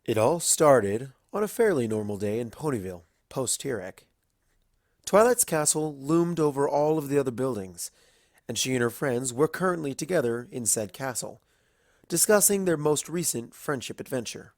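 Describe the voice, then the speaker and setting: A man's voice: mid-range voice, lively intonation, moderate pace, a little mellow, dry recording, studio quality. A man reading a scripted story aloud as its narrator.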